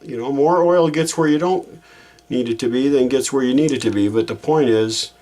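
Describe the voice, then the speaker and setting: A man's voice: mid-range voice, normal speed, dark tone. A man giving a casual hands-on repair demonstration, thinking aloud in an informal, folksy way as he works. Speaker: repair hobbyist hosting a how-to video.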